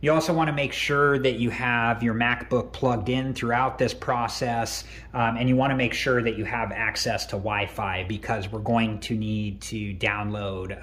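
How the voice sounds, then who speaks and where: Man calmly walking through a tutorial. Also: lowish voice. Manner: relaxed, many ums and uhs. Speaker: tech tutorial host. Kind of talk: step-by-step screen walkthrough.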